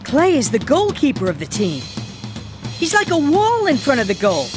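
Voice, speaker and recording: Cartoon boy footballer, high voice, earnest, explanatory, faint background music. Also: huge pitch swings, normal speed. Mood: serious, informative. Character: earnest boy footballer briefing his teammates. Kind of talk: animated cartoon dialogue.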